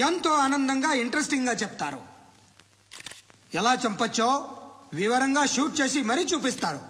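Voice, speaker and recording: Sardonic, high-pitched police chief. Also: male voice, pushed and bright, full character voice. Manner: sarcastic, declamatory. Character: sardonic male police chief lecturing reporters. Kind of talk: film dialogue as press briefing. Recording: reverberant hall sound.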